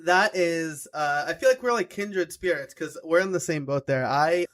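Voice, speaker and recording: A man from New York hosting a podcast, asking casual questions and answering with quick, upbeat affirmations. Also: a little high, a bit bright. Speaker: podcast host.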